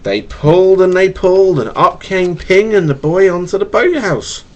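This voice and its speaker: A man's voice: high voice, lively intonation, normal speed, darkish tone, lo-fi sound. A man reading a children's picture book aloud to his child, stumbling and repeating words with a stutter. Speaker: father reading a bedtime story to his child. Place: at home, webcam recording. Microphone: webcam microphone.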